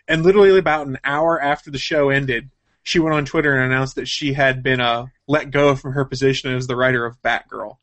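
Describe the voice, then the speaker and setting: A man's voice: medium pitch, steady tone, fairly quick, a bit bright. Man, mid-range voice, casual show host, informal jokey banter, conversational. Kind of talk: casual gaming and comics news chat.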